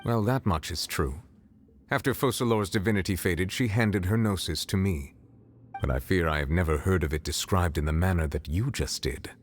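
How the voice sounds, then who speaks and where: A man voicing a dignified, reserved ancient dragon statesman in a video game, formal and measured. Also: sits low, natural voice. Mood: guarded. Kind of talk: video game character acting. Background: faint game music.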